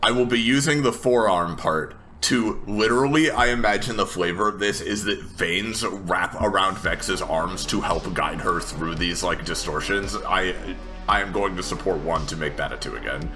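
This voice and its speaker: Male roleplaying game player, very low voice, casual delivery, music clearly behind. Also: moderate pace, sharp tone. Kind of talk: casual tabletop play. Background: background music.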